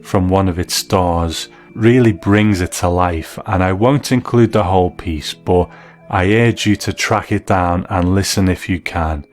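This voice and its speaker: A man with a very low voice presents a scripted documentary review. He is the host, narrating with soft music behind him. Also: measured pace.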